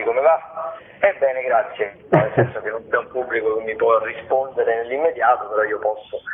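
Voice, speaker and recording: A man giving a radio round-up of events as a regular contributor, chatty and rambling, correcting himself as he goes, over the phone. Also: mid-range voice. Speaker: theatre critic, radio show contributor. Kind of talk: spoken weekly listings round-up. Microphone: telephone. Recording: muffled, band-limited telephone call.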